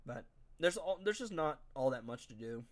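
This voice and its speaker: A young man with a nasal, stuffed-up voice gives loose gameplay commentary to his live chat. He talks in a chatty, slangy way, and his voice sits a bit on the higher side. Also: animated, normal speed. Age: young adult. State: stuffy, congested nose. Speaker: game streamer.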